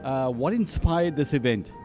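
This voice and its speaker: A man in his forties hosting a show and interviewing a guest at a dinner event, measured and formal. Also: mid-range voice, lively intonation. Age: 40s. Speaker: host. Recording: music playing behind.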